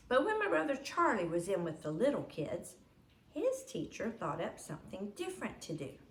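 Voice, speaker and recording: A woman reading a children's story aloud in an animated, expressive narrating style. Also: mid-range voice, echoey room. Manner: animated, acts out dialogue. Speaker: storybook reader.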